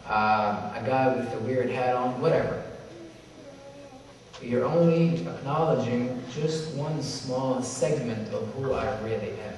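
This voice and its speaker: A man from Somalia giving a religious lecture to an audience, speaking in a measured, repetitive way with slow emphasis and Arabic recitations. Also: medium pitch, darkish tone, a little hiss or hum. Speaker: symposium speaker. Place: large hall with audience. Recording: echoey hall from far off.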